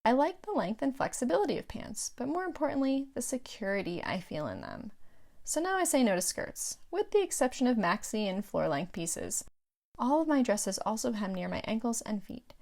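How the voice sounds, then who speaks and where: Higher-voiced woman reading her audiobook. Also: sing-song, moderate pace, vocal fry, darkish tone. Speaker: author narrating own audiobook. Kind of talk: scripted audiobook narration.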